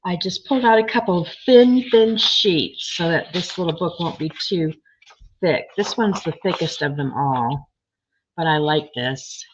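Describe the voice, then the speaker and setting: A woman with a slightly froggy, hoarse voice, chatting casually as she works on a craft project and reads viewer comments. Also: lowish voice, a little slow. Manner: chatty, thinking aloud while working, reading comments. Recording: dull lo-fi sound.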